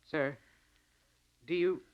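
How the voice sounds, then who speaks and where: A man's voice: on the high side, fairly even intonation, drawn-out words. An actor playing a respectful, anxious naval officer reporting to his superior in a 1940s radio drama, on a dull old recording. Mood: deferential. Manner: respectful, hesitant subordinate. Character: deferential, anxious naval chief warrant officer.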